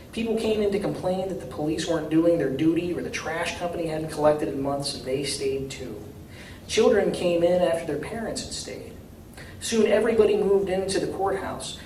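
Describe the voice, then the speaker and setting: A man giving a live reading of literary fiction in a measured, deliberate way, recorded from a distance in a room. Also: a little high, warm tone. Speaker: author reading from his novel. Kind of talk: live literary reading, dramatic first-person narration. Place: indoor reading at a university. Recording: distant, echoey room with faint noise.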